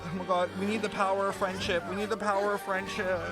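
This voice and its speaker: A man's voice: high voice, level tone, fast, loud music behind the voice. A man livestreaming his reaction to a game, dramatic and gushing, with heavy slang, swearing and repeated exclamations.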